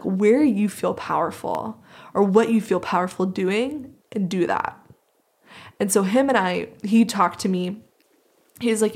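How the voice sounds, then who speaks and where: A woman's voice: medium pitch, a little mellow. A woman with a mid-range voice talks in a reflective podcast conversation. She comes across as earnest and appreciative, speaking as a host who is sharing her own thoughts. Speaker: podcast host being interviewed.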